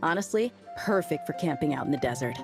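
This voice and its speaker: A breezy desert mercenary woman from a video game. Her voice sits low and she talks in a loose, slangy, friendly way. Music plays clearly behind her. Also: expressive, fast. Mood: upbeat, chatty. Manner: casual, chatty, slangy. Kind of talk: video game character acting.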